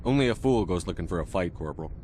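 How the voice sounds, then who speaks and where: An actor playing a disciplined, no-nonsense military commander in a video game, terse and firm. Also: male voice, deep voice, animated, fast, a little mellow, slight background noise. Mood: stern. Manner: terse, firm, matter-of-fact. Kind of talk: video game cutscene acting.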